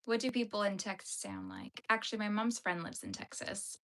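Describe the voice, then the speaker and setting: A woman's voice: medium pitch, big pitch swings, a little fast, a bit bright. A young woman, chatting playfully with her livestream viewers while she crochets. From Canada. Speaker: livestream host.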